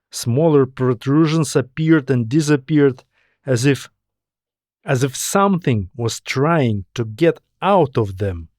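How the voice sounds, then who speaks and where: A man narrating a scripted science fiction story in a deliberate, dramatic storytelling manner. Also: mid-range voice, a little mellow, no echo, studio quality. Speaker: author and narrator.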